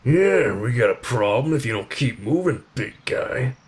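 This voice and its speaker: A man's voice: medium pitch, expressive, measured pace. A man acting in an ASMR roleplay as a cocky young street bully, taunting and swaggering. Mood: cocky, menacing.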